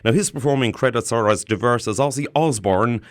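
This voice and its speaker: A man's voice: medium pitch, a little fast, no echo. Male radio interviewer, dry close sound. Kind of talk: radio interview with scripted introduction.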